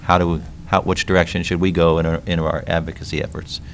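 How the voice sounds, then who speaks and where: A man with a very deep, gravelly voice introduces a presentation at a county meeting. He rambles and restarts his sentences often. Faint room noise sits beneath him. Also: level tone, fast. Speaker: county official.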